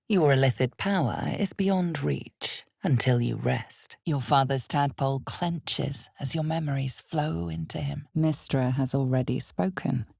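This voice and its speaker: Low, gravelly female narrator of dark fantasy. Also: no echo, clean studio sound, full character voice. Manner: measured, deliberate, portentous delivery. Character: ominous female game narrator, dark and knowing storyteller. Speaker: video game narrator. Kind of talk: dramatic scripted second-person fantasy narration.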